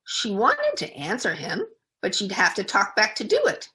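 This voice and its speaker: A woman narrates a children's story as a storyteller. Her pitch is medium for a woman and moves around in a lively way, with expressive, deliberate phrasing meant for young listeners. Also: rough recording.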